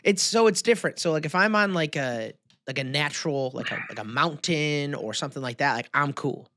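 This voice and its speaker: Emphatic American man, slightly high voice. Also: fairly quick, pushed and bright, no room sound, clean studio sound. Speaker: podcast co-host. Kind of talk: casual podcast banter.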